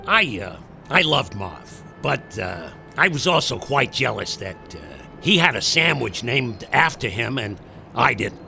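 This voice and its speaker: A rumpled, hesitant celebrity in an audio comedy. The voice is gravelly and lively in pitch, with halting, wry asides. Faint music plays behind. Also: male voice, full character voice. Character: rumpled, hesitant, wry male television actor. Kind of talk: comic celebrity impression.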